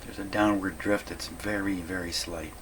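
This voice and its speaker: Male hobbyist repair host, low register, casual and folksy, talks to himself as he works, noticeably noisy audio. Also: steady tone, moderate pace. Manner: folksy, musing aloud to himself. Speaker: radio repair hobbyist hosting a video. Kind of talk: casual workbench commentary.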